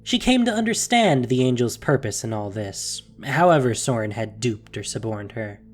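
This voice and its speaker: Expressive, dramatic storytelling narrator. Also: mid-range voice, normal speed, faint music behind.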